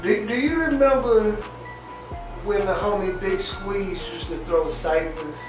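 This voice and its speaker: A woman hosting a casual interview, laid-back and slangy, asking questions and dropping quick affirmations. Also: mid-range voice, heavy reverb, recorded from a distance, over music, poor audio. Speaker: radio host and interviewer.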